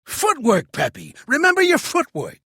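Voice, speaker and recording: An actor voicing a shrewd, gruff old American mobster for a video game, streetwise and blunt, with a gravelly, husky voice. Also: male voice, extremely high pitch, huge pitch swings, unhurried, bright, forceful tone, dry recording, studio quality, exaggerated voice. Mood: barking, coaching. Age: older. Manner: streetwise, commanding, blunt, slangy. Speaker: mobster mentor and boxing coach. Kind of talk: video game character acting.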